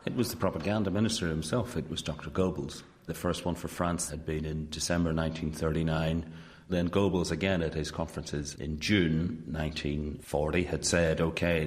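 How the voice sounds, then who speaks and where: A male academic expert explains things in an interview with emphatic phrasing. His voice is very low. The room leaves a long reverberant tail on his words. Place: reverberant indoor room at a university.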